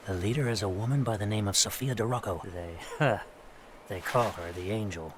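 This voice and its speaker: Wartime handler played by a man, lowish voice, grave and confiding, halting delivery, game cutscene with noticeable ambient noise. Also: lightly acted. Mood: hesitant, wry. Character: grave, hesitant military intelligence contact briefing an agent. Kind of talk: video game cutscene acting.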